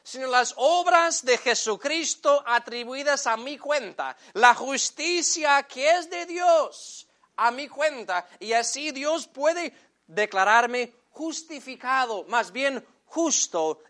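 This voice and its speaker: A man whose first language is English preaching a sermon in Spanish, addressing the congregation as brothers and slowing down to stress key phrases. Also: extremely high pitch, lively intonation, pushed and bright. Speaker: pastor.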